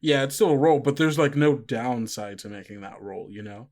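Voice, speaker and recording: A man's voice: medium pitch, normal speed, a little mellow. A man running a tabletop roleplaying game, narrating in a casual, improvised, conversational way. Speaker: game master. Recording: guitar music playing behind the voice. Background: plucked guitar music.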